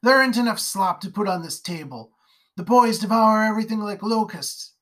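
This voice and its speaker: A narrator voicing a harsh rural mother who scolds in a rough country dialect, pitched high. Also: moderate pace, fairly bright tone, lightly acted. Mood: scolding. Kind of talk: storybook character voice.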